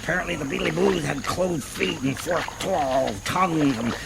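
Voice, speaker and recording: An actor playing an eccentric, lecherous alien archaeologist in a comic science fiction radio play, theatrical, shifting between musing murmurs and excited exclamations. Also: male voice, a little high, moderate pace, gravelly, noisy background. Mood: eager, playful.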